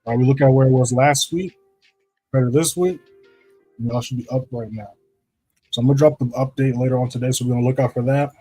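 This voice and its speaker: A man with a deep voice hosting a live trading stream, talking casually through charts and often checking in with "right?". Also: steady tone, fast, long pauses, mellow, faint music behind, poor audio. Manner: loose, repeats "right?" to check in with viewers. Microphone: streaming setup microphone.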